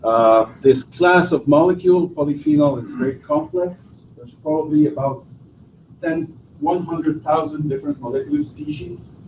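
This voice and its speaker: A middle-aged French-speaking man from Quebec gives a university seminar talk in accented English, often pausing and restarting his phrases. His voice is mellow and sits at an ordinary pitch. It is heard from some distance in an echoey lecture room. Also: big pitch swings, a little hiss or hum. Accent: Québécois French. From Quebec, Canada. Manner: halting, many restarts and fillers. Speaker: guest lecturer, professor. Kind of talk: academic seminar talk with slides. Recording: distant, roomy lecture hall audio.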